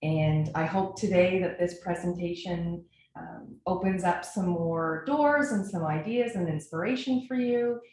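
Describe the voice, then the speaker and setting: A woman from Nova Scotia with a Canadian accent gives an informal webinar presentation in an earnest, enthusiastic way, pausing often as she goes. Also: on the low side, darkish tone. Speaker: webinar presenter, brand strategist. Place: indoor echoey room, online webinar. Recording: very echoey room, faint noise.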